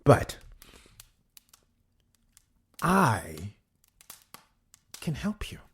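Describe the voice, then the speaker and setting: A man playing an uneasy, formal, calculating inspector in an audio drama, officious and halting as he questions a prisoner. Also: mid-range voice, huge pitch swings, breathy edge, exaggerated voice. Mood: agitated, persuasive.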